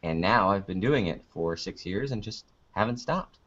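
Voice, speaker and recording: A man's voice: on the low side, expressive, moderate pace, a bit bright. A young American man chatting casually as an interviewed podcaster, with a joking, playfully melodramatic manner. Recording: dull lo-fi call-like audio.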